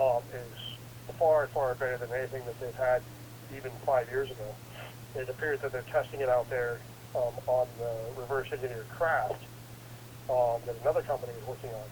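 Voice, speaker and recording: A man's voice: mid-range voice, darkish tone, a little hiss or hum, low-quality recording. Congested man rambling on a call. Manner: rambling with frequent restarts. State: congested from a head cold. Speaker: guest investigator. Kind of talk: casual interview.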